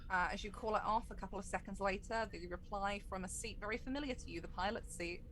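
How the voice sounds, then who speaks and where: A woman's voice: mid-range voice, fast, a bit bright, a little hiss or hum, low-quality recording. A woman acting as game master, improvising descriptive narration for a tabletop roleplaying game.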